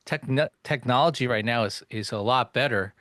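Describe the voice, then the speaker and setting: A man hosting an interview podcast, asking questions in a hesitant way with many pauses and restarts. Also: mid-range voice, a bit bright, no room sound, studio quality. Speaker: podcast host.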